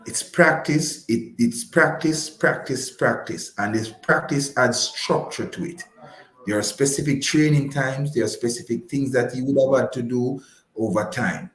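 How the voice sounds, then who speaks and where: Man, Jamaican accent, mid-pitched, emphatic explanatory guest, repeats for stress, echoey roomy sound. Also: a little slow, fairly bright tone. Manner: emphatic, repetitive, didactic, checking with "right?". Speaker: guest explaining personal finance. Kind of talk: conversational explanatory radio talk.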